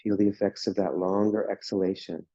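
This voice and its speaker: A man guiding a yoga class through slow breathing and relaxation, speaking in calm, soothing instructions. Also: low voice, dry recording, dull audio. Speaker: yoga teacher.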